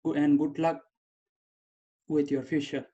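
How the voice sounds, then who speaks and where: A young man, not a native English speaker, telling his own story with halting, repeated phrases. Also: a little high, level tone, mellow. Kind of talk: personal testimony. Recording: dull lo-fi sound.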